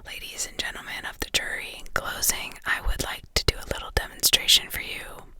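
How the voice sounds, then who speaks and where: A woman's voice: brisk, sharp tone. A woman reading the part of a pointed, theatrical male defense lawyer in a whisper, with pressing rhetorical delivery. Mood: persuasive.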